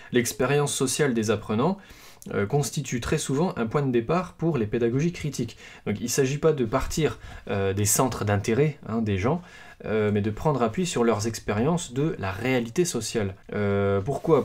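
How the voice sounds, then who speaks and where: A man's voice: mid-range voice, a little mellow. Hesitant French-speaking man presenting a book. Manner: hesitant, many fillers and restarts; self-deprecating humor. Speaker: podcast host presenting a book. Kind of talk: casual spoken book presentation.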